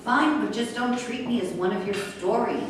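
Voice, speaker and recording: A woman's voice: fairly high pitch, normal speed, close to an everyday voice. A woman reading the part of a stubborn, defiant young woman in a staged play. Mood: exasperated. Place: echoey room at a live staged reading. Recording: echoey room, far mic, faint noise.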